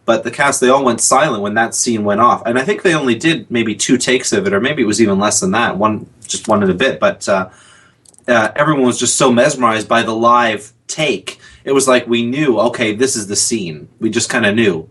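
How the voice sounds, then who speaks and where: A man with a husky voice, chatting casually as a guest on a podcast. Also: medium pitch, a little fast, a bit bright. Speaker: podcast guest, audio drama crew member. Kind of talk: casual interview chat.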